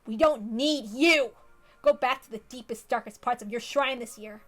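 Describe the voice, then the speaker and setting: A woman's voice: on the high side, moderate pace, a bit bright, faint music behind. A woman voicing a teenage boy consumed by murderous rage in an intense, dramatic visual novel monologue. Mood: furious.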